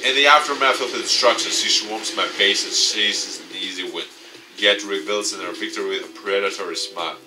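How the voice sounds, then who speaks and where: A man's voice: lowish voice, a little fast, far from the mic, over music, poor audio. A man reading a visual novel aloud and commenting as he plays, as a gaming video host. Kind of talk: let's play, reading game text aloud.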